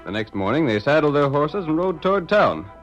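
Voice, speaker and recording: A man's voice: fairly high pitch, big pitch swings, fairly quick, dull audio, soft background music. A man narrating a 1930s radio drama in a dramatic announcer style.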